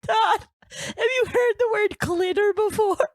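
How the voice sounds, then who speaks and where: A woman voicing an ancient, excitable old woman on a comedy podcast, squawky, repeating herself and prone to giggling. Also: very high voice, measured pace, no room sound, clean studio sound. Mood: teasing, incredulous. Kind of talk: improvised comedy podcast character.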